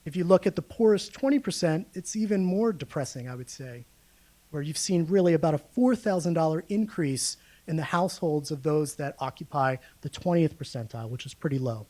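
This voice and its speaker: A man's voice: fairly high pitch, animated, a little fast, warm tone. A man gives a public lecture as a guest researcher, explaining data to a room in a conversational, informal way and checking in with his listeners. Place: speaking live to a room of listeners.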